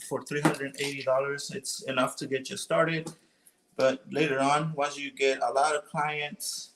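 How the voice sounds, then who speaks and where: A man's voice: mid-range voice, slight background noise, rough recording. Mexican-accented man, rambling casual chat. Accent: Mexican Spanish. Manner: relaxed and rambling, many fillers and restarts. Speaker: small business owner.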